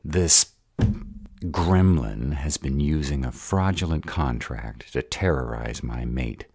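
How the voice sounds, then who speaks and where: A man voicing a gruff, protective, short-tempered were-dragon in an audio roleplay, grumbling and sarcastic in a gravelly voice. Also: lively intonation, slow, darkish tone, dry recording, studio quality, heavily acted. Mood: exasperated, angry. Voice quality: deep, gravelly.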